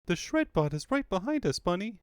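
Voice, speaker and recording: A man's voice: high-pitched, very animated, brisk, clean tone, dry recording, studio quality, pitched above the performer's own voice. Man voicing a winded female cartoon animal. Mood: exhausted, alarmed. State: out of breath. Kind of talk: comic fandub character acting.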